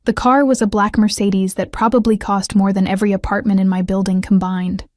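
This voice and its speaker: A woman narrating a first-person romance story in a scripted storytelling style, with an even, subdued delivery. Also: mid-range voice, fairly quick, dry recording, clean studio sound.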